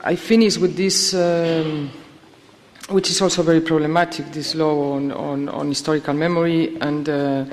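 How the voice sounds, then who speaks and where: A woman from Spain, speaking slowly in English at a conference and searching for words with many fillers and restarts. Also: sits low, steady tone, a little mellow, a little hiss or hum. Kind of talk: halting conference discussion. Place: conference hall. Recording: long reverb of a hall.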